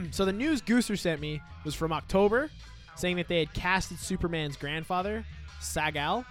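Animated, excitable young male podcast host. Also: high-pitched, moderate pace, faint music behind. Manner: animated, excitable, swears casually. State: getting hoarse. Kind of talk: casual podcast banter.

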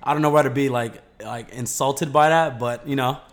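A man's voice: mid-range voice, steady tone, normal speed. A young man talking as a podcast guest in a laid-back, slangy way, swearing freely. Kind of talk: casual chat.

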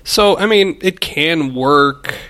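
A man co-hosting a casual movie podcast, relaxed and measured, with a deep voice. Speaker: podcast co-host.

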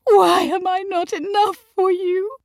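Storybook aristocratic wife played by a woman: high, trembling, overwrought, emphatic. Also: flat delivery, measured pace, darkish tone, no echo, clean studio sound. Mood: keening despair. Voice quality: trembling, shrill. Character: anguished aristocratic mother, outraged and pleading wife. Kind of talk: audiobook character acting.

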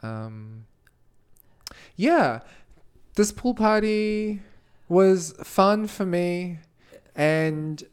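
A man's voice: sits high, animated, extremely slow, pauses often, smooth. A man from Tonga co-hosting a podcast in casual chat, with a playful, campy, chatty manner. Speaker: podcast co-host.

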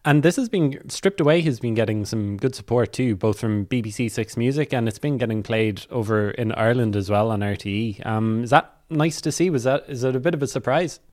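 Male music interviewer, mid-pitched voice, casual conversational questioning. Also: brisk, few pauses, a little mellow.

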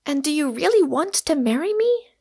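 A woman's voice: sits high, a little slow, voice pushed up. A woman voicing a sensible, stout-hearted young lady from a storybook, speaking earnestly and with emphasis. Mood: sincere.

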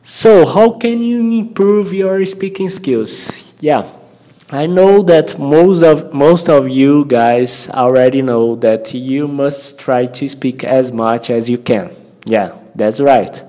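Slow, halting Brazilian man, mellow voice. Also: medium pitch. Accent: Brazilian Portuguese. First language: Portuguese. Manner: halting, searching for words, repeats himself. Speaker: language-learning podcast host. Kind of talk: informal spoken English-learning lesson.

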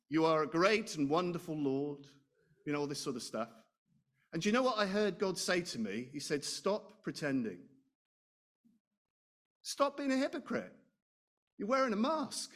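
A man preaching a sermon, loud and animated, chatting with asides and rhetorical questions. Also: sits high.

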